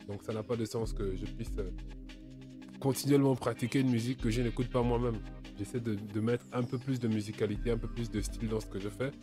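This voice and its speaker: A man, a musician whose first language is not French, speaking haltingly with many restarts in an interview. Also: lowish voice. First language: another language than French. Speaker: musician guest. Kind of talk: casual interview talk.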